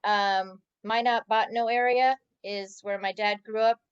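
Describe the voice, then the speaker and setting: Hesitant lady, smooth voice but muffled lo-fi audio. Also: mid-range voice, steady tone, unhurried, dry recording. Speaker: student. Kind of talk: class introduction.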